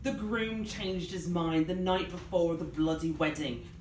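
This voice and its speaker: A woman's voice: lowish voice, unhurried, a little mellow, close to an everyday voice. A blunt, tipsy best friend read out by a woman grumbles scornfully and emphatically. It is a live novel reading with echo and background noise from the room. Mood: grumbling.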